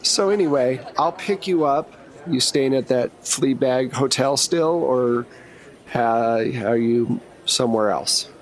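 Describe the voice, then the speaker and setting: A man's voice: medium pitch, slow, close to an everyday voice. Man playing a breezy, blunt agent handler. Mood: casual, businesslike. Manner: casual, wry, reassuring briefing.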